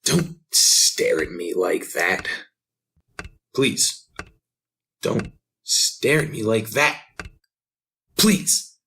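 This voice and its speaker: An actor playing an unhinged, pleading madman who confesses his tale in a halting, feverish radio drama style. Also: on the low side, sing-song, creaky, sharp tone, a little hiss or hum, exaggerated voice. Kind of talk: retro radio drama monologue.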